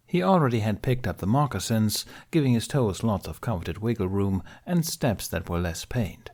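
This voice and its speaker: A man with a fairly low voice narrates an audiobook. He reads scripted fiction as a storyteller. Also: a little mellow.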